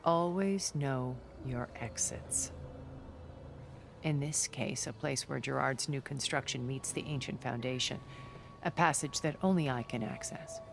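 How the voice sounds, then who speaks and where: An actress playing a worldly, regretful, scheming middle-aged woman of a far-future elite in a video game, speaking in a measured, articulate, theatrical way. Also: very deep voice, vocal fry, noisy background, full character voice. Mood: calculating. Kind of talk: dramatic video game cutscene acting.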